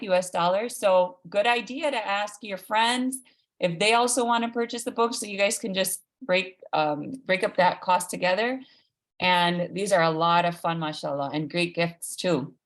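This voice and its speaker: Female event host, informal live announcements to kids on a video call, mid-range voice. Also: medium pitch. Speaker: host of an online read-aloud event.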